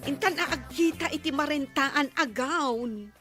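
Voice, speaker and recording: A woman playing a nosy, easily awed village neighbor in an animated radio comedy style, exclamatory, with an Ilocano accent. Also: sits high, a little slow, over loud music. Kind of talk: radio comedy drama acting.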